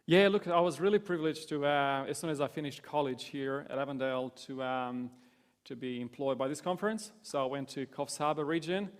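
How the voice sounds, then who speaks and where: A man's voice: on the high side, a little fast, smooth, big-room sound. A man in his thirties, born in Romania, being interviewed on a church stage as guest pastor, earnest with self-deprecating humour. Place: church stage before a congregation.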